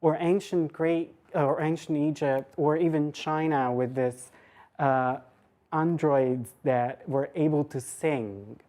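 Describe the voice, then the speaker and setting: A woman with a low voice delivers an academic lecture in an informal register. She talks conversationally, as a lecturer thinking aloud, and cuts into her own sentences with side remarks. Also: steady tone, slow, warm tone. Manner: conversational, self-interrupting asides. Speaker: university lecturer giving a talk.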